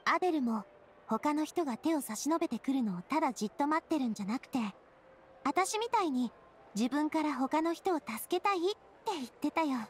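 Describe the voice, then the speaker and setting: A woman playing an elegant, warm-hearted young lady boss in an anime game, sincere and expressive. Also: high voice, a little fast, slight background noise. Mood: gentle, reflective. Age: young adult. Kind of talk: Japanese anime game voice acting.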